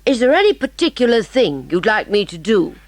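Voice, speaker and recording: A woman playing a clever, inquisitive British schoolboy detective, brisk and emphatic, in a dramatised audiobook. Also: fairly high pitch, very animated, no echo. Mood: eager, polite. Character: clever, keen, bossy schoolboy detective, eager and polite.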